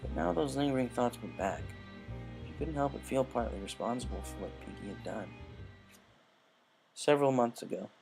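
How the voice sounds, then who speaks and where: A man narrates a written story. His voice sits at a middle pitch with noticeable vocal fry, and soft music plays faintly behind him. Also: moderate pace, pauses often. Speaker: narrator reading a fan-fiction story.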